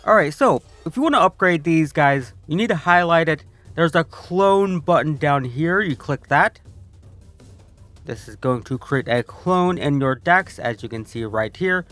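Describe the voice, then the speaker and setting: A man's voice: a little high, animated, unhurried, a bit bright, soft background music. A young man with a stuffy, congested voice, casually walking through a card game deck guide as a video host, rambling with ums and restarts. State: congested from illness.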